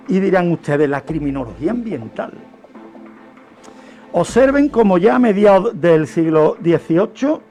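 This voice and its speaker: A man's voice: high voice, darkish tone. A man presenting a history segment on the radio, telling it like an emphatic lecture full of rhetorical questions and repetition.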